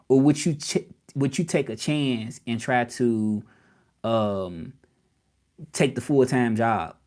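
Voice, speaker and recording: A man with a Southern drawl from Memphis talks casually to a live stream audience. He rambles, repeats himself and keeps turning questions back to the viewers at a mid-range pitch. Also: darkish tone. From Memphis, Tennessee, US South. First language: English.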